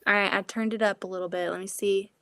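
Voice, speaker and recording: Soft-voiced woman hosting a casual livestream. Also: medium pitch, fairly even intonation, fast, vocal fry, clean tone, no echo, studio quality. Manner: chatty, scattered, self-deprecating. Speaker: livestream host.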